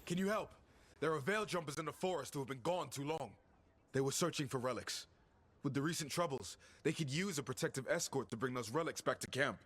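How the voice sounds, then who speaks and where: A man's voice: mid-range voice, fairly quick, a bit bright, close to an everyday voice. A man playing an earnest scout asking for help in video game dialogue. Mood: earnest, concerned.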